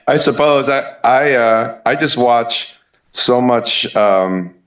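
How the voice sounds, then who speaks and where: A man in his fifties from the Southern United States with a Southern US accent, chatting as a musician guest in an interview, relaxed and hesitant with many fillers. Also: mid-range voice, slow. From Southern United States (Kentucky, Memphis). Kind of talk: casual interview chat. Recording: echoey room.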